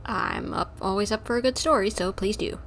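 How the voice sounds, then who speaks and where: A woman's voice: medium pitch, a little fast, gravelly, a bit bright. A woman livestreaming a game, giving casual, playful, chatty commentary as it plays. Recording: livestream mic with faint background noise.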